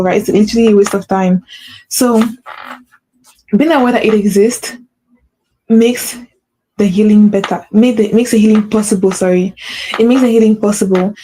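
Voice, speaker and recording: A woman's voice: medium pitch, fairly even intonation, moderate pace, pauses often, warm tone, a little hiss or hum, low-quality recording. A woman hosting a solo podcast as a spiritual coach, speaking earnestly, repeating herself and checking in with the listener, with a slight stutter. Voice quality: mentions having a stutter. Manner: earnest, repetitive, with frequent "right?" check-ins and self-corrections. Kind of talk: solo motivational talk.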